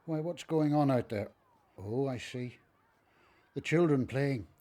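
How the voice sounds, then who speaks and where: An actor playing an elderly temple priest in a radio play, anxious and devoted, with an earnest, tender way of talking. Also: male voice, medium pitch, a little slow, dark tone, natural voice. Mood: concerned.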